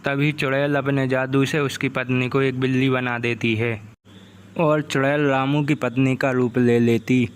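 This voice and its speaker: Male story narrator, mid-pitched, unhurried, very even intonation, scripted delivery. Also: smooth.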